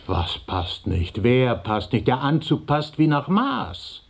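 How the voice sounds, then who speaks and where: A coaxing, husky, slow-spoken businessman. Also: mid-range voice, very animated, exaggerated voice. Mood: coaxing, amused. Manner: persuasive, encouraging, rhetorical questions. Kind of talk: German radio play acting.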